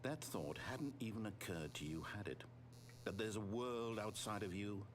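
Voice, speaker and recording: A man's voice: on the low side, moderate pace, noisy background, lightly acted. A man playing a fussy, controlling game narrator, articulate and condescending, with theatrical wounded pride. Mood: bitter. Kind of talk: scripted narration in a video game.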